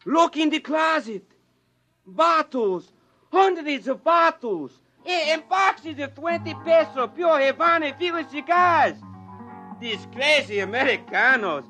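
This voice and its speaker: An actor playing a superstitious, excitable hotel employee in a 1950s radio drama. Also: male voice, very high-pitched, slow pace, faint music behind, heavily acted. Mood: amazed.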